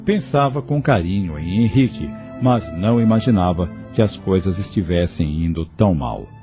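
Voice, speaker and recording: A man's voice: deep voice, animated, moderate pace, music in the background. A man narrating a scripted audio novel as a storyteller.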